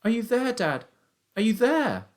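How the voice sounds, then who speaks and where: Storybook role: anxious, devoted young boy acted by a man, high-pitched, lively swoops, urgent pleading delivery. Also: moderate pace. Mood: desperate shouting. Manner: calling out loudly, pleading.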